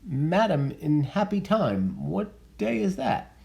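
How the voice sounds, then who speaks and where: A man reading the part of a distraught young noblewoman in a high, emphatic theatrical voice. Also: sing-song, slow pace, vocal fry, a little mellow, heavily acted. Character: distraught young noblewoman hiding her feelings. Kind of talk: dramatic reading of verse.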